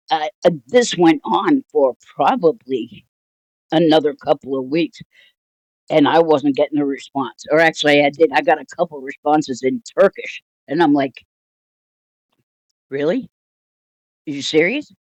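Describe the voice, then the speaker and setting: An older woman telling a personal story casually, in a rambling, halting, wryly amused way. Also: low-pitched, darkish tone, no room sound, low-quality recording. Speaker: storytelling vlogger.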